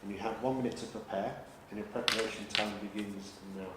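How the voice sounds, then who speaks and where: A man acting as examiner in a mock speaking test, asking questions calmly and reading out instructions in a measured way. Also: fairly low pitch. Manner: calm, reassuring, measured questioning. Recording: roomy echo with background noise.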